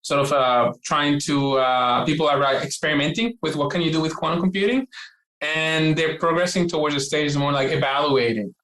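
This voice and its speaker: A man's voice: on the high side, normal speed, a bit bright. A man in his forties from Puerto Rico with a Puerto Rican accent, a guest scientist on a podcast. He talks eagerly, with many fillers and repeated words. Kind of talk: podcast interview. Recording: roomy echo.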